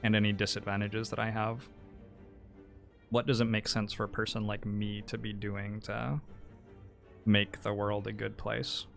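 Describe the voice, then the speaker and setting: Low gravelly musing streamer man. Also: level tone, a little slow, pauses often, a bit bright, soft background music. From New Zealand. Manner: thoughtful, musing, hesitant. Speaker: game streamer. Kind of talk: casual livestream chat.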